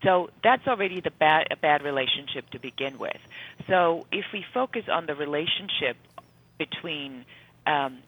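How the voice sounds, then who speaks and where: A woman's voice: deep voice, expressive, moderate pace, phone-quality sound, slight background noise. A woman speaking as an interview guest, explaining her ideas conversationally as an author and corporate trainer, in band-limited sound.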